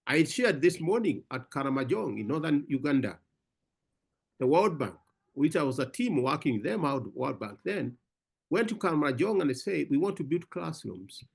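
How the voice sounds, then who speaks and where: A man's voice: fairly high pitch, sing-song, moderate pace, vocal fry, breathy edge. A man giving emphatic answers at an online research conference, repeating words as he builds his points and calling the listener brother. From Africa. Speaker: conference speaker answering questions. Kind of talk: animated panel talk.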